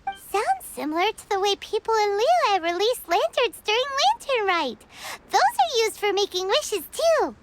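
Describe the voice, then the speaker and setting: A woman voicing a tiny, greedy, excitable floating girl companion who talks about herself in third person in game dialogue. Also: very high voice, unhurried, heavily acted. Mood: eager. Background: game music.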